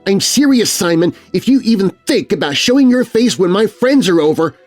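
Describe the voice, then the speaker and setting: Mean teen big sister, played by male narrator: raised pitch, snide, threatening, emphatic, for a kids' storybook. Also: lively intonation, brisk, darkish tone, faint music behind. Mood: sneering threat.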